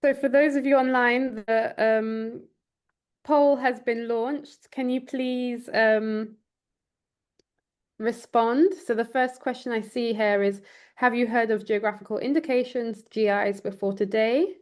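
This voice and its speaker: Woman lecturer giving an online talk, pauses and restarts a lot, earnest. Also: on the high side, fairly even intonation, clear, resonant tone. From Trinidad and Tobago, raised in London. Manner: many ums and restarts, earnest. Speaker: law lecturer. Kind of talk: online presentation. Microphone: video call.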